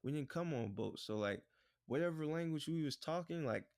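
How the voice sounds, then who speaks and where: A man co-hosting a podcast in relaxed, rambling casual chat, often saying "bruh" and "like". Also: a little high, normal speed, no echo, clean studio sound. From United States. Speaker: podcast co-host.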